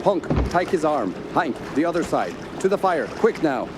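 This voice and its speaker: An actor playing a steady older doctor who leads a search party in a radio play, brisk, fatherly and authoritative. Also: fairly high pitch. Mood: urgent. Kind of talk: radio drama acting. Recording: outdoor ambience noise behind voice.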